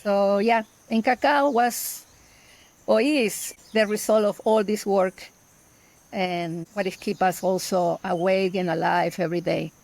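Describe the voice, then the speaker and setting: Woman speaking halting second-language English. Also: medium pitch, steady tone, gravelly, a little mellow. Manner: halting non-native English. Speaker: guest, permaculture farmer. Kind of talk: casual podcast interview.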